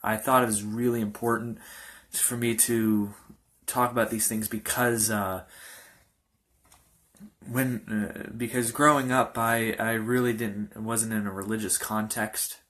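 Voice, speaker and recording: A man in his early twenties, a pastor giving an informal personal testimony in a halting, loosely rambling way. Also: on the low side, flat delivery, a bit bright. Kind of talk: informal testimony talk to camera.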